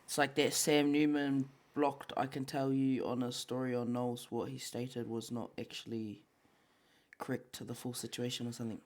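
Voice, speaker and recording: A man's voice: mid-range voice, little pitch movement, normal speed. A man with a New Zealand accent co-hosting a casual podcast, chatting informally in slang and reading out viewer comments. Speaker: podcast co-host.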